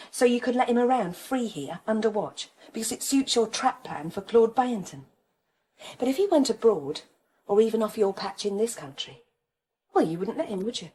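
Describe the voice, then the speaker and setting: An actress playing a weary, defiant young pregnant woman in a radio crime drama, husky, with a wry, knowing manner. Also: fairly high pitch, a little mellow. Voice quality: husky, breathy.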